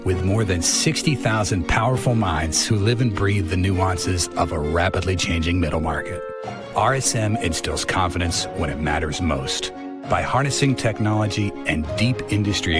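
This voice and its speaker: Brief: man voicing a corporate spot, deep voice, steady scripted read, over loud music. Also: moderate pace. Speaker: ad narrator.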